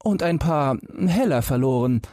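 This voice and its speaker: High, perky fearless fairy-tale lad. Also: male voice, expressive, a little slow, warm tone, no room sound, studio quality. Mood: carefree. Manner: perky, carefree, cheeky. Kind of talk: storybook character voice.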